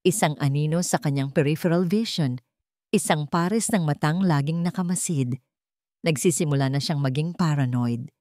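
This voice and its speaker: A woman narrating scripted dramatic fiction in a measured, suspenseful way, with deliberate pauses. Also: low voice, a little mellow, no room sound, studio quality. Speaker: story narrator.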